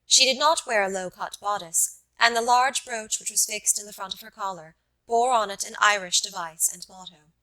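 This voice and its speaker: Woman reading a classic story audiobook, bright clear-cut voice, measured, medium pitch. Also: rough recording. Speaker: audiobook narrator. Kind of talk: scripted literary narration.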